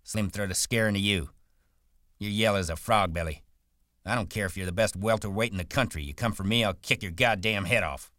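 Casting: male narrator as scornful tough ranch worker, low-pitched, taunting, rough-spoken, storybook drama. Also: a little fast, pushed and bright, no echo, clean studio sound. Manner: taunting rough rural speech. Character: jeering tough ranch hand, fearless and scornful.

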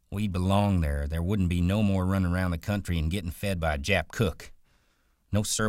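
A man voicing a ranch worker who talks in a rustic drawl, brisk and rolling. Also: deep voice, no echo, studio quality, voice pushed up, lightly acted. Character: wiry male ranch worker, guarded and dreaming.

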